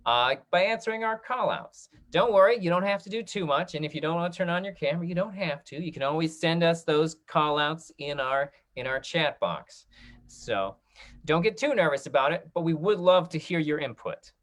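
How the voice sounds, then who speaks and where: A man hosting a casual online talk-back, speaking in a friendly, encouraging way with halting starts and frequent fillers. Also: on the high side, animated, a bit bright. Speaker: host and online programming director of a theater company. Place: online video call.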